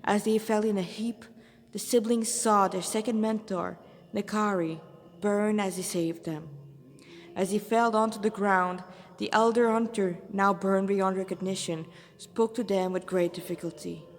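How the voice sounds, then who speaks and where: A woman's voice: medium pitch, fairly even intonation, a little slow, long reverb tail. A woman with a non-native English accent, an amateur narrator reading a story dramatically and sometimes stumbling over words. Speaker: amateur fan fiction narrator.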